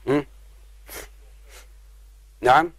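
A man, teaching an Arabic grammar lesson. He talks patiently, addresses his students as his children and slows down on examples. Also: dry recording. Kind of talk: grammar lesson explaining verse.

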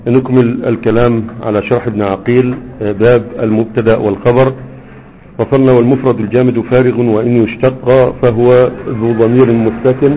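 A man's voice: medium pitch, level tone, fairly quick, slight background noise. A man with an Egyptian Arabic accent giving a grammar lesson, explaining over and over and quizzing his students with rhetorical questions. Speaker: grammar teacher. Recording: tinny phone-like audio.

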